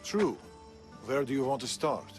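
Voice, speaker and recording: A man's voice: mid-range voice, very animated, a little slow, a little mellow, soft background music. An actor playing a serious older male assassin mentor who speaks in clipped instructions in a video game. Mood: intent. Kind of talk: video game cutscene acting.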